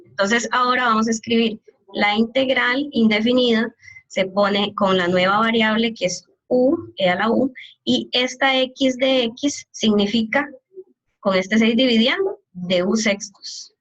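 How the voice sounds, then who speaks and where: A woman teaching calculus over a video call, her fairly high voice coming through muffled and lo-fi. She walks through each step patiently and keeps asking her students whether they follow. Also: measured pace, fairly bright tone. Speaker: math teacher. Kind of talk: online calculus lecture.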